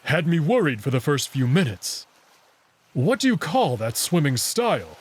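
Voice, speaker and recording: A man's voice: fairly high pitch, very animated, normal speed, husky. A man voicing a big, proud, teasing young male wolf in a visual novel. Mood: matter-of-fact, friendly. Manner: teasing, confident. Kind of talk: visual novel character voice acting.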